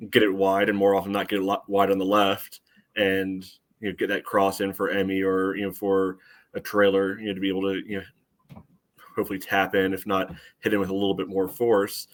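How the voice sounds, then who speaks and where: Man, fairly low voice, measured casual sports analysis, podcast co-host, conversational filler phrases. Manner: measured, frequent "you know" asides. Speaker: soccer fan podcast co-host.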